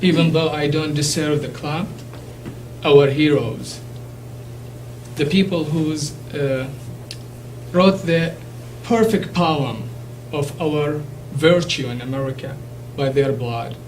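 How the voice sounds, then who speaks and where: Iraqi-accented man, native Arabic speaker, addressing a class, fairly high voice, earnest and emphatic, recorded from a distance with faint hum. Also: fairly even intonation. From Iraq. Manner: earnest, emphatic, halting with restarts. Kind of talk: impassioned public speech. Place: classroom or lecture hall.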